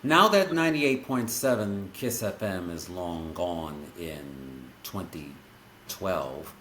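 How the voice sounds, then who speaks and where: A man with a very low voice hosts an interview in a measured way, drawing on his own radio days. His voice echoes around the room. A faint noise sits under the recording. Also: animated, fairly bright tone. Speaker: podcast host. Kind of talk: interview, reminiscing.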